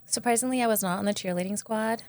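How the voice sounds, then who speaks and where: A woman's voice: fairly high pitch, quick pace, a little mellow, no room sound. A woman co-hosting a podcast, asking questions and reflecting on her own life in casual conversation. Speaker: podcast co-host.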